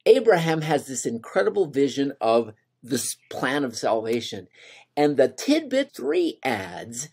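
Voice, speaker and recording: A man's voice: on the high side, mellow, husky. Earnest older man teaching scripture. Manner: earnest, voices scripture lines with rising emphasis. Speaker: gospel study teacher. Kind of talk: scripture lesson over slides.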